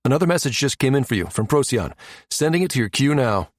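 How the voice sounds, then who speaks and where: A man's voice: mid-range voice, very animated, fast, no room sound, studio quality, pitched above the performer's own voice. A man voicing an easygoing, teasing military pilot with a drawl in an audiobook.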